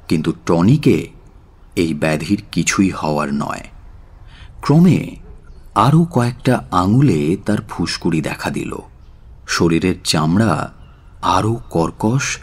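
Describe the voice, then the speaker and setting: A man narrating a dramatic audio story in a measured, solemn and expressive way. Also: on the low side, husky. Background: faint music.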